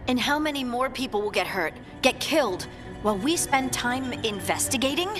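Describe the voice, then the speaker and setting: A woman's voice: a little high, lively intonation, moderate pace, lightly acted. A woman playing an earnest, insistent scout in a fantasy video game party. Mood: urgent. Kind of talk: video game cutscene acting. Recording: loud music behind the voice.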